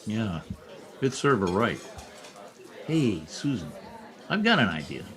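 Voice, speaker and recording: A man playing a wry newspaper reporter in an old-time radio comedy. His voice sits fairly low but swings widely as he talks. The delivery is theatrical and a little scheming. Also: very animated, slow pace, a bit bright, some chatter behind, exaggerated voice. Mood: conspiratorial.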